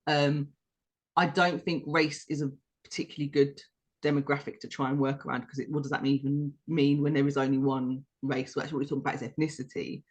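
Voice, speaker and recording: Measured, reflective British woman. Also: low-pitched, flat delivery. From United Kingdom. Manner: measured and reflective, building points step by step. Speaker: podcast co-host. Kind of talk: explanatory podcast discussion.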